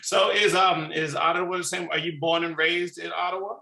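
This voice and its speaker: A man's voice: on the high side, steady tone, fairly quick, vocal fry, pushed and bright, roomy sound. A man from New York City hosting a casual interview, enthusiastic and full of slang. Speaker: podcast host.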